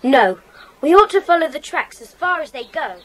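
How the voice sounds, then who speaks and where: A young schoolgirl in a children's mystery play, very high and animated. She is earnest, observant and decisive. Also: normal speed, rough recording. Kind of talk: children's audio drama acting.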